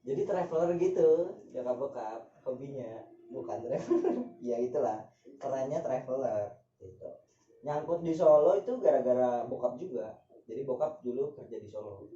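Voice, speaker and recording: A man's voice: medium pitch, animated, normal speed, mellow, a little hiss or hum. A young man from Java chatting casually, joking in slang and laughing often. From Java, Indonesia. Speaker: guest. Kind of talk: casual chat with some singing. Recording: echoey, distant, dull room sound.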